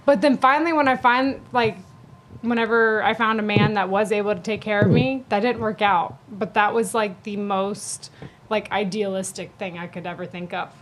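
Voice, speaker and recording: A woman's voice: mid-range voice, steady tone, normal speed, low-quality recording. Chatty young woman, slight room hiss. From born in Croatia, grew up in Georgia, USA. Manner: chatty, laughs often, trails off into fillers. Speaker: guest. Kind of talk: casual podcast chat.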